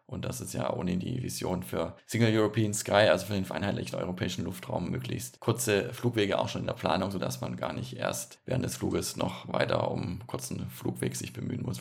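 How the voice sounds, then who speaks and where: A man's voice: deep voice, vocal fry. A man hosting a podcast, reading out listener questions and explaining aviation terms along the way. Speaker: podcast host. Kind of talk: casual podcast chat.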